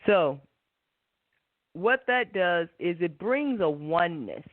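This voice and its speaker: A woman hosting a spiritual talk and guided meditation, speaking in an unhurried, reflective way and often checking for agreement. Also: on the low side, lively intonation, dry recording. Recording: tinny narrow phone-like line.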